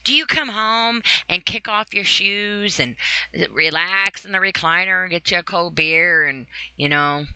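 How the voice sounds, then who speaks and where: Woman podcast host, forceful bright tone, very dry sound, informal opinionated solo talk with emphatic repetition. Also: fairly low pitch, unhurried, smooth, no room sound, rough recording. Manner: emphatic, repeats phrases for stress.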